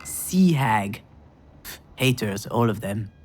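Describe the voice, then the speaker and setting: An actress playing a bitter, overwhelmed daughter in a visual novel, with a sardonic, dramatic delivery. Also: female voice, rumbling low, animated, slow pace, a little mellow, a little hiss or hum, exaggerated voice. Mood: wry, brooding. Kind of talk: visual novel voice acting with inner monologue.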